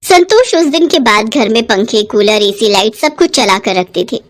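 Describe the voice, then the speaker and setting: A woman narrating a cartoon story in a clear, even storytelling manner. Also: a little high, moderate pace.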